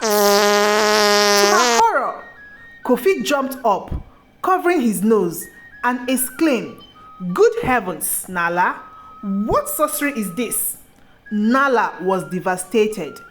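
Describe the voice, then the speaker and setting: A woman narrating a scripted folktale as a storyteller. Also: a little high.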